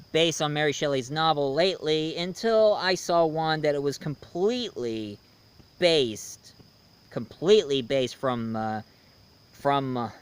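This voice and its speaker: A man in his thirties talks through a casual review as the host of his own video. His voice sits a little high and is notably smooth and clean. He speaks off the cuff, halting to find words and often repeating the start of a phrase. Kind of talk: casual unscripted review talk.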